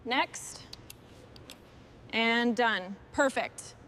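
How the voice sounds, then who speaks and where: A woman's voice: a little high, extremely slow, fairly bright tone, slight background noise. A woman restoring machinery, giving short, clipped remarks and instructions as she works. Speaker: machine restorer presenting the work. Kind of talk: short work narration and crew instructions.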